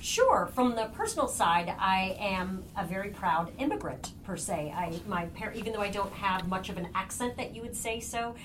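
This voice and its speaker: A woman's voice: medium pitch, animated, normal speed, hardly any pauses. A middle-aged woman with an American accent, telling personal stories casually as a podcast guest. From born in Kenya, raised partly in Tanzania and the US. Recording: clear background noise.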